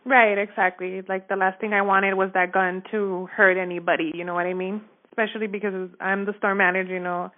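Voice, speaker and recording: A woman's voice: mid-range voice, flat delivery, fairly quick, hardly any pauses. Woman on a narrow phone line. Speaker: store manager giving a witness account. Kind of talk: casual recounting in a phone interview. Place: telephone call.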